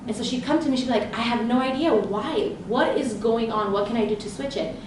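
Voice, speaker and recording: A woman who grew up speaking Romanian first gives a lively conference talk as a leadership coach, telling stories and acting out her own inner thoughts. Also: a little high, a little fast. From grew up in Southern California. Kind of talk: live conference presentation. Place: event room with an audience. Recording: very echoey room, voice far from mic, background noise.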